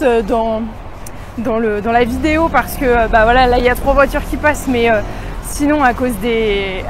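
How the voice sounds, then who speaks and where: A young woman vlogging casually as she walks through a city, talking in a chatty way full of fillers and restarts. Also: high-pitched. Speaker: travel vlogger. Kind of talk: casual vlog walking tour. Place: outdoors in a city street. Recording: outdoor street noise. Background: street noise and passing cars.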